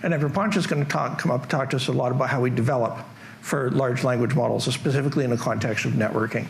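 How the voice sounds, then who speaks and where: A man's voice: medium pitch, quick pace, creaky, a little hiss or hum. A middle-aged man with a Canadian accent giving a casual, joking tech conference talk in a large hall. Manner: casual, joking, self-deprecating. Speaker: conference presenter.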